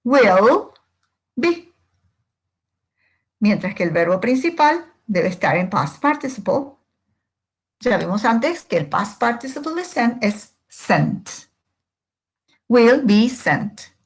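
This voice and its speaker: A woman's voice: a little high, very animated, slow pace, pauses often, husky. A woman teacher giving a step-by-step grammar lesson in a didactic way, posing questions and answering them herself. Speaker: English teacher.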